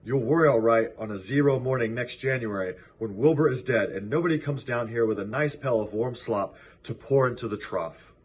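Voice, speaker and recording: A man's voice: medium pitch, a little fast, hardly any pauses, slight background noise, natural voice. A man voicing an old sheep in a storybook, blunt and persuasive, reasoning firmly. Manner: firm, reasoning.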